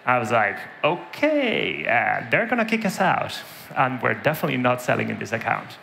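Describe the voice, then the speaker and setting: A man from Spain with a Spanish accent shaded by Irish English, in his thirties, giving a conference keynote in a lively storytelling manner and often adding "right?" to his points. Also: mid-range voice, moderate pace, creaky, a little hiss or hum. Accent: Spanish with Irish influence. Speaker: conference speaker. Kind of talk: keynote talk with anecdotes. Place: large dark conference stage.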